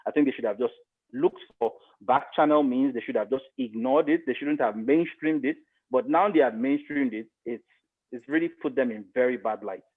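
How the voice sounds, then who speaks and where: A middle-aged man with a Nigerian accent speaks through a thin, phone-like connection. His pitch is average for a man. He gives conversational political commentary, emphatic and fond of repeating a phrase to drive it home. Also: moderate pace, breathy edge. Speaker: interview guest, media expert.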